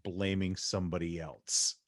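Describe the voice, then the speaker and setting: A man's voice: low voice, flat delivery, a little slow. A man hosting a podcast in casual chat, loose and joking, often laughing. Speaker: podcast host.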